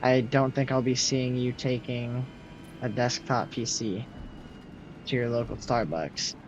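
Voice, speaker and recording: Loud young guy chatting on stream. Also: mid-range voice, fairly even intonation, measured pace, a little hiss or hum. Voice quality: loud projecting voice. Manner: rambling, answers chat messages. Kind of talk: casual chat with viewers while gaming. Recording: slightly muffled, faint game music behind.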